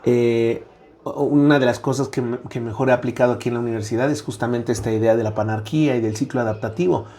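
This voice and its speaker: A man's voice: mid-range voice, steady tone, fast, mellow. A middle-aged Mexican man with a Mexican accent, speaking as a guest academic in a conversational interview, reflective, with frequent "eh" fillers and a habitual "¿no?".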